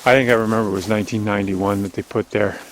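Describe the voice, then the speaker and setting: A man with a strong, loud voice hosting a walking video outdoors on an overgrown logging road, chatty and rambling as he narrates, recorded on a handheld camera. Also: fairly low pitch, fairly even intonation, very fast, slight background noise, rough recording. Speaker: channel host. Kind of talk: casual walking vlog narration. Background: faint outdoor nature ambience.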